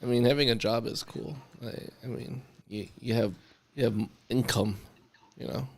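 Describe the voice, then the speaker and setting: A man's voice: medium pitch, normal speed, gravelly, darkish tone, dry recording. A young man interviewing a friend in a casual chat, a bit congested, playful and prone to restarts, speaking close to a handheld mic. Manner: playful, teasing, stumbling restarts. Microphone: handheld mic held close.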